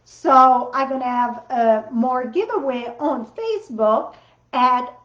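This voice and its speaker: A woman's voice: sits high, slow pace, a bit bright. A woman with a French accent hosting a casual card-making livestream from her home craft room, chatty and rambling, with loose grammar. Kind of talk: casual live demo chat. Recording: roomy, slightly dull sound.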